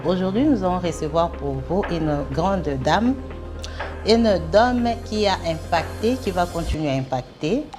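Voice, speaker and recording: A woman's voice: on the low side, animated, a little slow, over music. A woman co-hosting a talk show, playful and chatty, mixing in Lingala greetings to viewers. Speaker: co-host. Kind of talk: casual chat.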